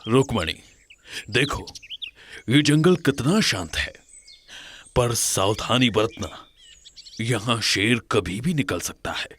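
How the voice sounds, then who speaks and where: A man playing an earnest, authoritative Indian king in a cartoon folk tale, speaking formally and deliberately. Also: mid-range voice, lively intonation, fairly bright tone, a little hiss or hum. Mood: calm, caring. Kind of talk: dramatised cartoon storybook dialogue.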